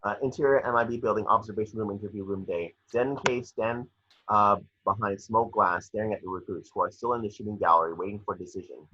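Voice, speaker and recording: A man reading screenplay action lines aloud in a somewhat halting way, as narrator of an amateur table read. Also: lowish voice, pushed and bright, highs cut off, a little hiss or hum. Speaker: reader of action descriptions.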